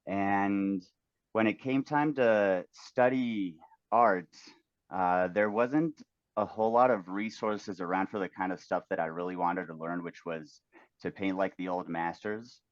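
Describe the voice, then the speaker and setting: A man's voice: low-pitched, fairly even intonation, measured pace, no room sound, muffled recording. A man, speaking as an interview guest in a friendly, easygoing way. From Mexico. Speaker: guest painter. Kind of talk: relaxed interview talk.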